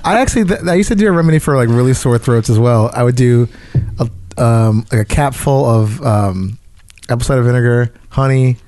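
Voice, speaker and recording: A man's voice: medium pitch, fairly quick, darkish tone, dry recording. A man co-hosting a podcast in casual chat, laid-back and joking. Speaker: podcast co-host.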